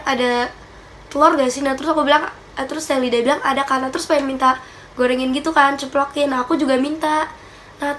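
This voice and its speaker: A woman's voice: high voice, slight background noise. Chatty young woman with a stuffy nose. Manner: chatty, giggly, animated hand gestures. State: slightly stuffy nose from a cold. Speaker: vlogger. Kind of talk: casual Q&A chat. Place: small bedroom indoors.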